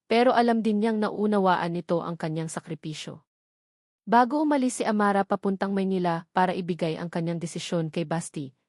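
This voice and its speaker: A woman's voice: medium pitch, a little mellow, no echo, clean studio sound. A woman reading a scripted, dramatic love story as its storyteller. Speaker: storyteller narrator.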